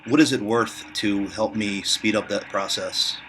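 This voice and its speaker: A man making a prank call, hesitant and deadpan, filling pauses with ums. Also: fairly low pitch, heavy background noise. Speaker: prank caller.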